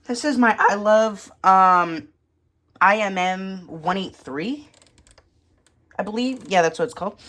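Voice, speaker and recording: A woman's voice: medium pitch, a bit bright. A woman giving a casual, matter-of-fact show-and-tell of a hobby collection in short, clipped phrases, recorded indoors at home.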